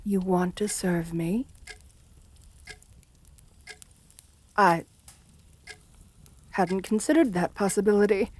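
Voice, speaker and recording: A woman playing an assertive, seductive and menacing aristocratic countess in an ASMR roleplay, speaking softly with coaxing, condescending endearments. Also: mid-range voice, a little slow, close to an everyday voice. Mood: taken aback.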